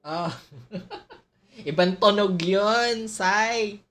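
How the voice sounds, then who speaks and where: A Filipino woman doing casual, expressive live game commentary, slipping between languages in a playful way. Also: mid-range voice, extremely slow, soft background music. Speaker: game streamer.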